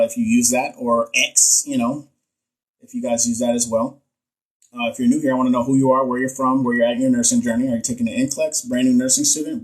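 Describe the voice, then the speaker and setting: A man's voice: mid-range voice, breathy edge, poor audio. A man from Texas, a nurse educator hosting a casual live stream, joking in slang while he reads viewer comments and reviews quiz answers.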